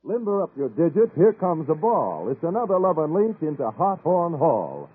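A man's voice: a little high, sing-song, moderate pace, husky. Theatrical American man announcing on muffled vintage radio. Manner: theatrical, playful rhyming hepcat delivery. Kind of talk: 1940s radio variety show announcing, jive patter. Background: music.

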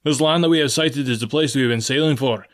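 A man's voice: mid-range voice, fast talker, close to an everyday voice. A man voicing a firm ship's captain in a storybook, speaking formally and with authority. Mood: hearty, commanding.

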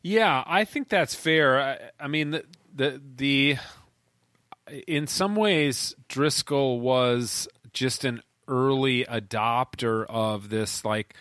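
A man's voice: medium pitch, slow, fairly bright tone, no room sound. A man in his forties chatting in a podcast conversation, rambling with frequent restarts and fillers. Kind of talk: casual conversation.

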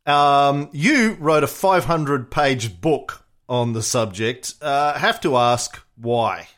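Podcast host reading a guest introduction. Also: male voice, medium pitch, expressive, a bit bright. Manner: reads lists of titles briskly. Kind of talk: scripted guest introduction and questions.

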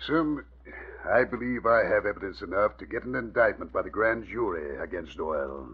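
A man's voice: fairly low pitch, animated, normal speed, breathy edge. An actor playing a stern, troubled middle-aged father and district attorney in an old radio drama, grave and earnest. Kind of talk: 1940s radio acting. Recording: muffled old radio recording.

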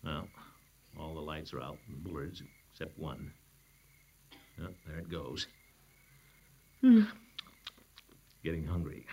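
A man's voice: extremely low pitch, takes it slowly, long pauses, warm tone, breathy edge, heavily acted. An actor playing a pompous, blustering middle-aged bachelor uncle in a 1940s radio comedy, chuckling to himself as he talks. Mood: muttering. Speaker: comic lead in a radio sitcom.

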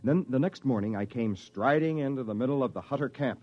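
A man's voice: medium pitch, fairly quick, close to an everyday voice. An American man playing a cunning, cynical misfit who narrates and bluffs in a 1950s radio drama. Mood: wry, reflective. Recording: muffled old radio recording, faint music behind.

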